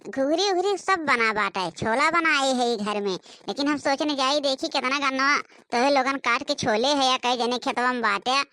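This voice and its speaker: A woman's voice: high-pitched, normal speed, pushed and bright, no room sound. A woman voicing a chiding village sister-in-law in a rustic cartoon comedy, with an Awadhi accent and colloquial delivery. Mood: explaining.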